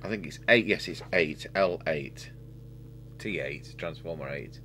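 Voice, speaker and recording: Deep-voiced man thinking aloud. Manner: halting, thinking aloud while working. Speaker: radio repair technician. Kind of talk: casual hands-on repair demonstration. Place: indoor workbench. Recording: steady hum and background noise.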